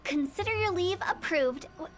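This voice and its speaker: A very high, mischievous young female game character. She is theatrical and eccentric, treating grim subjects with breezy playfulness. Music plays behind the voice. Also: lively intonation, normal speed. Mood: breezy, businesslike. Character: playful, eccentric young female funeral parlor director with macabre humor. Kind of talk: video game voice acting.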